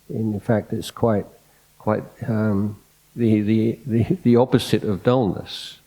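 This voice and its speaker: A Buddhist monk gives a talk in a hall through a microphone. His voice is soft, low and mellow. He speaks reflectively, pausing on fillers and restarting his phrases as he thinks aloud. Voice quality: soft-spoken. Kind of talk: unscripted dhamma talk.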